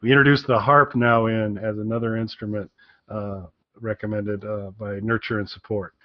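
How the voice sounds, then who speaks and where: A man's voice: lowish voice, flat delivery, moderate pace, dry recording. Hesitant man, slightly dull dry audio. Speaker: podcast co-host. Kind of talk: casual podcast chat with recommendations.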